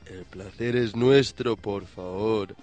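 A man hosting a mock talk-show interview in a measured, mock-formal manner. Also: lowish voice, a little mellow, soft background music. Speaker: host.

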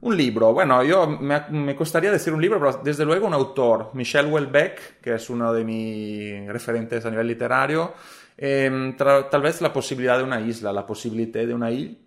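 A man's voice: mid-range voice, brisk. A man from Italy speaking Spanish with an Italian accent, answering interview questions hesitantly with many fillers and restarts. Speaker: guest, social media scientist.

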